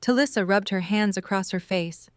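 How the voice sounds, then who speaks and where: A woman's voice: medium pitch, moderate pace, no echo, studio quality. A woman reading scripted third-person story narration for an audio drama.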